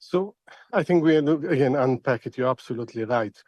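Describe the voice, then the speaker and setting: A middle-aged man giving expert analysis as an interview guest, speaking in a halting, deliberate way and repeating words as he thinks. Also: mid-range voice, a little mellow, no room sound. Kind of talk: spoken analysis in a news interview.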